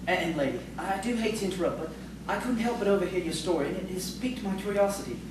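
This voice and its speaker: A man's voice: high voice, quick pace, close to an everyday voice. A man in an audio theatre play as a polite, bookish, somewhat pompous schoolteacher, speaking formally and hesitantly in long words. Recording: very echoey, distant, noisy stage recording.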